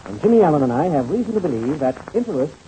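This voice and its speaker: An actor playing a confident, decisive American pilot and senior adventurer in a hearty 1930s radio serial style. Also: male voice, fairly high pitch, sing-song, racing, mellow, rough recording. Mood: explanatory. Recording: faintly hissy old radio recording.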